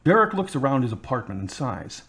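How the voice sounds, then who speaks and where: A man's voice: mid-range voice, big pitch swings, a little fast, fairly bright tone. A man reading scripted narration for an audio drama.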